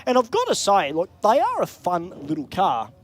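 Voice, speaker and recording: A man's voice: high voice, wildly swooping pitch, normal speed. A man with an Australian accent calling drag races in live commentary, chatty and upbeat in easy banter.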